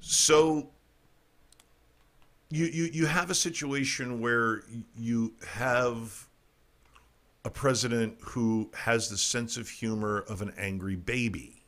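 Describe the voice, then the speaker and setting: A man's voice: medium pitch, takes it slowly, fairly bright tone. A middle-aged man hosting a casual talk show, ranting irreverently about the news with frequent stumbles and restarts. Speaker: podcast host. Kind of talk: casual political comedy talk.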